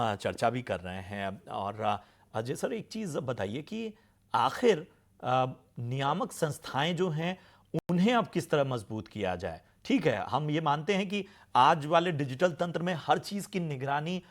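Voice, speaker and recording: A man moderating a television panel discussion as host, speaking haltingly and repeating words as he goes. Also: fairly high pitch.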